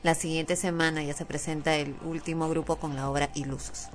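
A woman's voice: low-pitched, level tone, a little fast. A woman co-hosting a radio talk show, laughing easily and reading listener emails in a matter-of-fact way.

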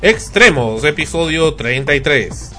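Guy co-hosting a radio show, bright forceful voice, keeps repeating stuff, faint music under it. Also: a little high, lively intonation, unhurried. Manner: repeats himself, joking. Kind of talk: casual radio chat.

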